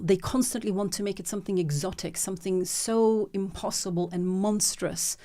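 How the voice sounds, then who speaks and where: A woman with a lowish, mellow voice talks through her ideas in an interview. She is earnest and thoughtful, and she often repeats words and restarts as she works her thoughts out aloud. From Norway. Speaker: filmmaker guest. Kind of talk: reflective interview conversation.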